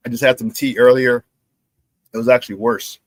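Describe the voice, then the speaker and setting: Hoarse middle-aged man, dry close sound. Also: medium pitch, fairly quick, no room sound. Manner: animated storyteller who repeats phrases and laughs at his own stories. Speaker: sports talk show host. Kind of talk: casual sports talk monologue.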